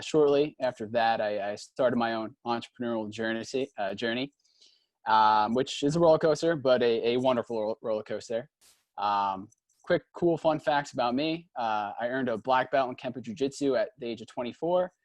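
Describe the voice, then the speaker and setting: A man in his twenties, an upbeat and animated startup CEO, speaking as a panelist on a video call. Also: mid-range voice, no room sound. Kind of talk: casual panel introduction.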